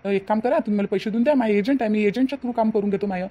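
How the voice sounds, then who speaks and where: Woman as a fast-talking sly young con man. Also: mid-range voice, mellow, lo-fi sound, natural voice. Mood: pushy. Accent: rural Vidarbha Marathi. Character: sly young male con man, persuasive, falsely reassuring. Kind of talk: Marathi cartoon moral-story dialogue.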